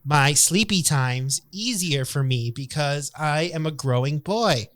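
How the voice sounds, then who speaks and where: A man co-hosting a comedy podcast, talking in a jokey, indignant way with quick asides. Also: on the high side, expressive, fairly bright tone. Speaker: podcast co-host. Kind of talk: comedic casual chat.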